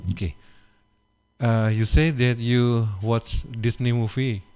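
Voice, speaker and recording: Halting man hosting a radio interview. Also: mid-range voice, flat delivery, darkish tone, clear, resonant tone. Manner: halting, broken phrasing. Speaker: radio host.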